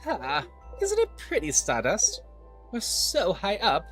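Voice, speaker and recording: A streamer voicing a playful, teasing star-like creature from a video game, theatrical and cheery, with sing-song, drawn-out words. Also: medium pitch, huge pitch swings, soft background music, pitched above the performer's own voice, low-quality recording.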